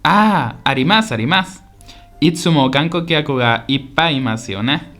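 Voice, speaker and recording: A man playing a polite, eager tourist from Spain in a scripted language-lesson radio drama, speaking in simple, clear sentences. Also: a little high, expressive, normal speed, fairly bright tone, soft background music. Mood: interested, pleased. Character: polite, eager Spanish tourist visiting Osaka.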